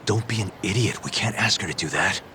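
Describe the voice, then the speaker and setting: Young detective, lowish voice, dry and a bit fed up, noisy bg, game cutscene. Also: male voice, lively intonation, a little fast. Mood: exasperated. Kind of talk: video game cutscene dialogue.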